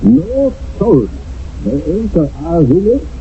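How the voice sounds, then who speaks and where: A man's voice: high voice, sing-song, slow pace, heavily acted. An actor playing a stern, formal chairman of a wartime village court in a radio drama. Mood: grave, accusing. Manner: formal, solemn, judicial. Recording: lo-fi old radio broadcast, faint noise.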